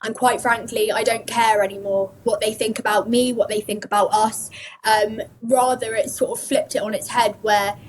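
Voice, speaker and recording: A woman answering interview questions in an earnest, measured, somewhat hesitant way. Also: a little high, level tone, slight background noise, rough recording.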